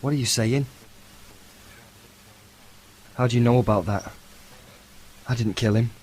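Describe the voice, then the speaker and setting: A man's voice: mid-range voice, brisk, a little mellow, slight background noise, lightly acted, poor audio. An actor playing a guilt-ridden, sleepless young man in an audio horror drama. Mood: agitated, desperate.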